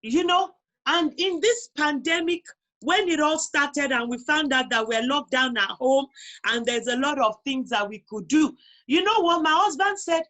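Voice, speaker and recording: High-pitched Nigerian woman, emphatic talker. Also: moderate pace, fairly bright tone. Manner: emphatic, repeats phrases for emphasis. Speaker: guest on a talk show. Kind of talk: conversational interview, personal advice.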